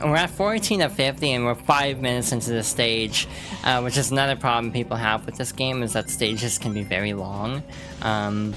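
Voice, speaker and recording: A woman streamer rambling through casual let's-play commentary, with lots of fillers and restarts. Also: a bass voice. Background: game music.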